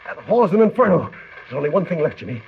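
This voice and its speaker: An actor playing a brave, protective adventurer in a 1940s-style radio serial, taking charge with urgency and reassurance. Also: male voice, on the high side, big pitch swings, fast, vocal fry. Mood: urgent, alarmed. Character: Bill, brave protective adventurer, grown man. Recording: noisy, muffled vintage radio recording.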